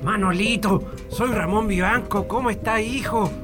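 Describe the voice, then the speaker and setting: A high-pitched older Chilean prisoner played by a man in a radio play. He chides like a fond uncle in colloquial speech, with music clearly behind the voice. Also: normal speed, natural voice. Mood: fond scolding. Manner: colloquial, chiding, fatherly. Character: gruffly affectionate older male prisoner, scolding like an uncle. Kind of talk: radio drama acting.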